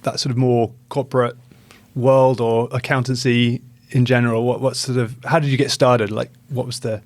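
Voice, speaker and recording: A man's voice: medium pitch, flat delivery, normal speed, no echo. A man hosting a podcast, chatting informally and asking loosely phrased questions. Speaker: podcast host. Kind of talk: casual interview chat.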